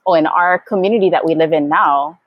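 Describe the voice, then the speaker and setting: A woman from Hawaii speaking reflectively as an interview guest, thoughtful and halting as she searches for words. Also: sits low, no echo, lo-fi sound. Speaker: guest, Hawaiian educator and activist.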